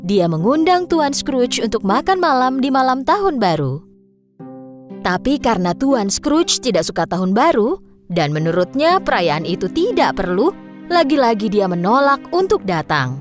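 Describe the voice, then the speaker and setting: Lively woman narrating a children's story. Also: a little high, moderate pace, faint music behind.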